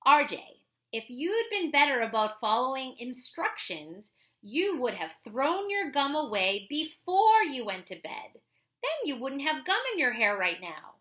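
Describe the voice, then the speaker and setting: A woman's voice: high voice, sing-song, unhurried, fairly bright tone, exaggerated voice. A woman voicing an exasperated mother in a children's picture book, lecturing her young son in a firm, emphatic way.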